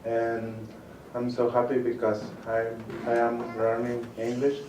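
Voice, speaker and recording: Man, from Mexico, steady, even pitch, distant, echoey. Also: unhurried, noisy background. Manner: plain, steady learner English. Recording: distant mic in an echoey room.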